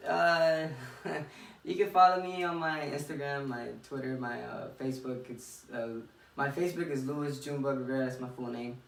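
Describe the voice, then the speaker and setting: A man's voice: mid-range voice, steady tone, moderate pace, vocal fry. A young man from Miami, a professional boxer, chatting casually in an interview and thinking aloud with repeated phrases. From Miami, United States. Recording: echoey room, distant mic, faint noise.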